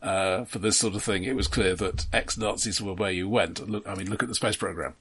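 A man's voice: low-pitched, steady tone, a little fast, a bit bright, husky. A man co-hosting a casual film discussion, starting hesitantly and then explaining his point. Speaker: film podcast co-host.

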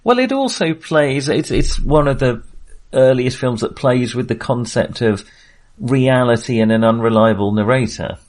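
A man's voice: medium pitch, expressive, normal speed. A man hosting a casual film discussion, talking in a hesitant, rambling and self-deprecating way. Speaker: film podcast host.

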